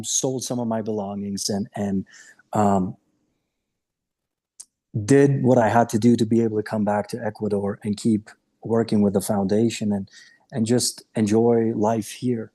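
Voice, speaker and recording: A man in his late thirties with a low, dark voice that has a husky edge. He is from Romania and was raised in Austria. He tells his life story to a podcast host in a candid, earnest way. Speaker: podcast guest, coach. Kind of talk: casual interview chat.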